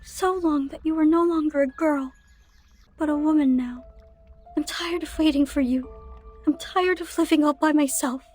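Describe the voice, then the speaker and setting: Woman as a high-voiced, lonely, childlike forest girl. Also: fairly even intonation, normal speed, darkish tone, faint music behind, lightly acted. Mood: lonely, wistful. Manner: wistful, childlike pleading. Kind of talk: video game voice acting.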